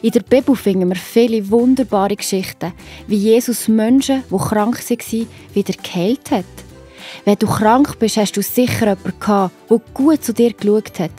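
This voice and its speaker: Mellow Swiss German woman narrator. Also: medium pitch, moderate pace, slightly rough, faint music behind. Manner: encouraging, addressing the young listener directly. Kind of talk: storytelling narration for children.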